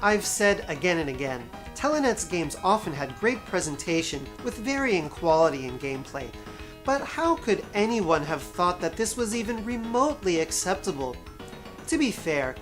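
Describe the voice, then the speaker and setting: A woman narrating a scripted video game review as its host, with wry, sarcastic asides and emphatic stresses. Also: low-pitched.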